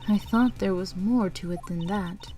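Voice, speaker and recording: Earnest female mentor attorney played by a woman, calm, medium pitch, soft music bed behind. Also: moderate pace, natural voice. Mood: regretful. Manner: calm, sincere, reassuring. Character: composed, earnest woman lawyer, supportive mentor. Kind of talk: video game fandub acting.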